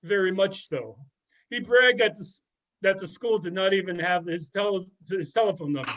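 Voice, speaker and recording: A man's voice: sits high, normal speed, a bit bright. A man playing a sardonic, gossipy middle-aged former student in an amateur readers theater drama. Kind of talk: amateur readers theater, conversational script reading.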